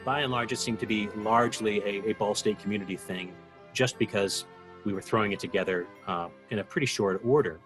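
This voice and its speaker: A man recalling his work as a producer in an interview, earnest and halting as he searches for words. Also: fairly low pitch, a bit bright, soft background music. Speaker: interviewee, radio drama executive producer. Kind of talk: reflective interview recollection.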